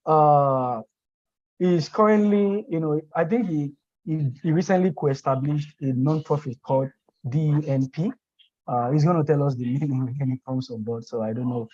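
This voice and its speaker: A man's voice: medium pitch, a little mellow. Man, host, online meeting, fillers, muffled lo-fi audio. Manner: apologetic, filler-heavy.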